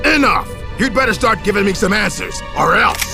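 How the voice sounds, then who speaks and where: A man's voice: high voice, animated, moderate pace, vocal fry, over loud music. A man voicing a cruel, gloating officer in a video game, with a theatrical, sneering and menacing delivery. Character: cruel gloating male intelligence officer interrogating a captive. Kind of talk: dramatic video game voice acting.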